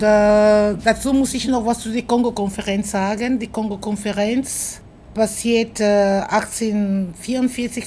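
A middle-aged woman, a performance artist and activist, answering interview questions slowly and thoughtfully with many hesitations and filler sounds. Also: medium pitch, darkish tone, slight background noise.

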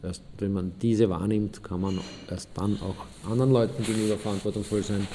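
A man's voice: fairly low pitch, fairly even intonation, brisk, gravelly, dark tone, a little hiss or hum. An actor playing a matter-of-fact, philosophizing man who gives reflective opinions in an interview-style radio drama. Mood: opinionated.

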